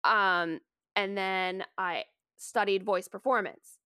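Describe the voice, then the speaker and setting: Smooth-voiced American woman, dry close sound. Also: mid-range voice, fairly even intonation, slow, bright, forceful tone, no room sound. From Maine, USA. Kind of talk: casual chat.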